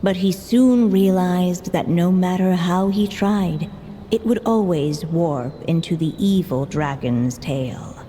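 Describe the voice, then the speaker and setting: A woman tells a fairy tale in a slow, calm, mellow voice, pitched a little low. The game audio is echoey, with faint ambience behind her. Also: slight background noise. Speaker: storybook narrator. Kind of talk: scripted fairy-tale narration.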